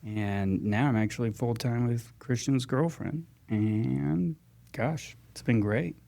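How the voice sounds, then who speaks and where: The American guy with a fry-edged voice on a work podcast, feeling his way through each answer in halting, relaxed conversation. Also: mid-range voice. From Texas and Georgia, US. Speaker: guest paramedic.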